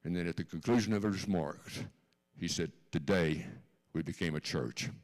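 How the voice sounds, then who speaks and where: Deep-voiced older Texan man telling a story. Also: normal speed. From Texas, born in Austria. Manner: earnest storyteller, repeats key lines for emphasis. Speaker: guest speaker. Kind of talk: personal testimony told to a congregation. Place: church sanctuary.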